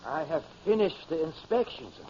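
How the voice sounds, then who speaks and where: An actor playing a dutiful, anxious submarine lieutenant in an old radio drama, deferential and hesitant. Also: male voice, fairly high pitch, moderate pace, darkish tone, breathy edge. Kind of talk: 1950s radio drama acting. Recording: noisy, muffled vintage radio recording.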